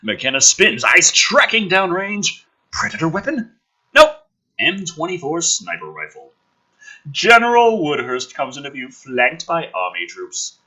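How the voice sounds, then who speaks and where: A man's voice: fairly high pitch, huge pitch swings, a little slow, bright, forceful tone, slightly rough, low-quality recording. A man reading a script dramatically and breaking off into sarcastic, exasperated, sweary commentary as the host.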